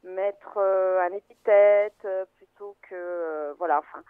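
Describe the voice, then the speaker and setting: A woman's voice: medium pitch, steady tone, measured pace, smooth, dry recording. A woman, a film editor being interviewed, explaining her craft in a hesitant, thoughtful way with many fillers and restarts, heard over a phone line.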